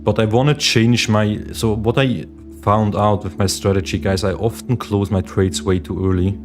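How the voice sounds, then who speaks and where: A man's voice: on the low side, fairly even intonation, moderate pace. A young man from Switzerland hosting a casual livestream, chatting with viewers and reading their chat questions aloud. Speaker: livestream host, day trader. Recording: meditation music playing behind the voice.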